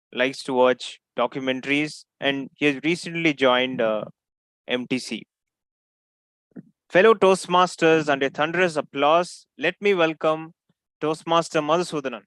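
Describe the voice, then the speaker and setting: A man hosts the meeting and introduces the speaker in a ceremonious, announcing way. His voice is smooth and slightly high. It sounds close and dry, with no room echo. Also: measured pace, fairly bright tone. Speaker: toastmaster of the meeting. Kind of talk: formal meeting hosting.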